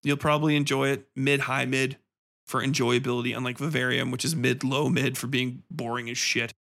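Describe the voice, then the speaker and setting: A man's voice: medium pitch, little pitch movement, fairly quick, vocal fry, a bit bright. Blunt, sweary man reviewing off the cuff. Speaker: podcast host and reviewer. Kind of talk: casual off-the-cuff review monologue.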